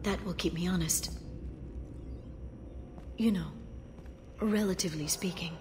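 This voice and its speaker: A woman playing an earnest, soft-spoken young alien information broker in a video game, with a measured, gentle manner. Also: on the low side, slight background noise, lightly acted. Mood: earnest, thoughtful. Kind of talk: video game cutscene acting. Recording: long hall reverb, game music behind.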